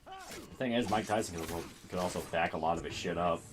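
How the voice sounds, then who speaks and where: Low, laid-back male co-host. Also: expressive, a little fast, noisy background. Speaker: stream co-host. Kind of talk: casual game stream chat.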